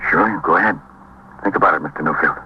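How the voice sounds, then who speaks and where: A man's voice: extremely low pitch, quick pace. A male actor playing a stern, methodical American police lieutenant in a 1950s radio drama, firing clipped, formal, pressing questions. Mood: probing, insistent. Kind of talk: 1950s radio acting, interrogation. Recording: muffled, noisy 1950s radio recording.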